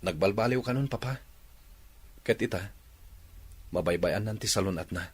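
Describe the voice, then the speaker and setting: A young man's husky, fairly low voice in a radio drama. He is a playboy son, subdued and contrite, pleading earnestly. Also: normal speed. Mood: subdued confessing. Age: young adult.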